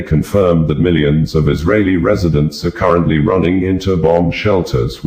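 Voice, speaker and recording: Very deep-voiced man reading news, echoey. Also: darkish tone. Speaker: news reader. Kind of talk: scripted news narration. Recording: echoey, reverberant room.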